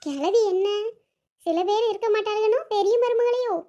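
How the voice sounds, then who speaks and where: A woman's voice: extremely high pitch, moderate pace, warm tone, dull audio. A woman voicing a resentful, sly elder daughter-in-law who mutters sarcastic asides in a family drama. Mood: bitter, sarcastic. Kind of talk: amateur family drama dialogue.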